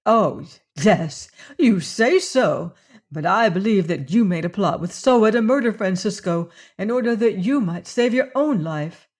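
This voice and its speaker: A proud, grieving young woman with a fierce temper, as the narrator puts her on. She speaks up high and loud, pushing her lines hard. A classic storybook heroine. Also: animated, measured pace. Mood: bitter. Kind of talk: audiobook character voice.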